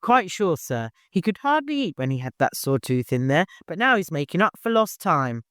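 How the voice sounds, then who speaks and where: A man's voice: a little high, very animated, normal speed, a bit bright, clear, resonant tone, no echo, studio quality, voice pushed up. A man voicing a chatty, proud camel keeper in an audiobook, deferential, long-winded and eager to please.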